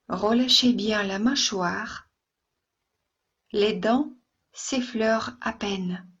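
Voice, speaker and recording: A woman yoga instructor leading a guided relaxation meditation in a soft, soothing, gentle way, with long pauses between phrases. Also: medium pitch, slightly rough, muffled recording.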